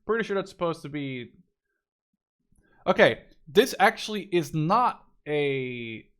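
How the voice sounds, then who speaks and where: A man's voice: a little high, very animated, slow pace, a bit bright, clean tone. A man in his twenties giving casual, unscripted game commentary, rambling and thinking aloud with enthusiasm. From Canada or the Netherlands. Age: 20s. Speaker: gaming video creator.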